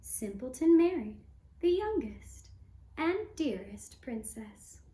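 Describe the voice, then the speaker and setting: A woman tells a fairy tale as a puppet show narrator. Her voice is high and her delivery slow and measured, pausing between phrases. The room adds a noticeable echo. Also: big pitch swings, warm tone. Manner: measured, with long phrase breaks.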